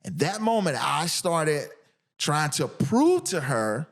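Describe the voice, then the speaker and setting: Casual guest storyteller: American man, candid, emphatic, very animated in pitch. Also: slow pace. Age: middle-aged. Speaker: podcast guest, musician.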